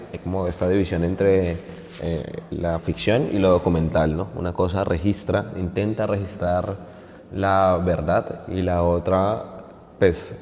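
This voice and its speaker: A man's voice: deep voice, dark tone, big-room sound. A man from Colombia, speaking on a panel in a thoughtful, hesitant way with many restarts. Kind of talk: public panel discussion. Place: community center hall.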